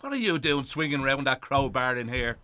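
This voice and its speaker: A man's voice: medium pitch, lively intonation, fairly quick, bright, forceful tone. An actor playing a retired Irish policeman, a loving but defiant old husband, in a radio play. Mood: outraged, defiant. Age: older.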